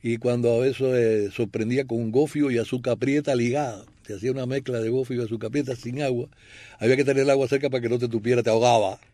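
An older Cuban man co-hosting a relaxed talk show, his voice at a middling pitch and recorded dry with no room echo. He reminisces about childhood and local sayings, chuckling easily as he goes. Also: fast. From Camagüey, Cuba. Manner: reminiscing, easy laughter. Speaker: co-host. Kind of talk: casual radio chat.

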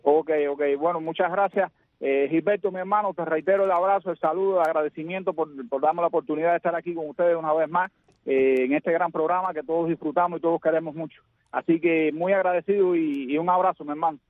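A man's voice: a little high, steady tone, fast, no room sound. A man calling into a radio show, speaking hesitantly with many fillers and effusive thanks. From Cuba. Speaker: caller. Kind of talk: phone call to a radio show. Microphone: telephone. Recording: tinny phone line.

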